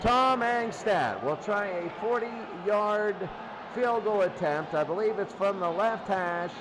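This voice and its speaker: High-voiced male football play-by-play announcer, noisy recording. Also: slow pace. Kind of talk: live American football play-by-play commentary.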